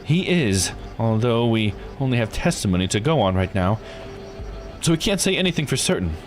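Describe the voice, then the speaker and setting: A man's voice: mid-range voice, sing-song, moderate pace, soft background music, lightly acted. A man voicing a composed, formal investigator in a visual novel, speaking politely and precisely.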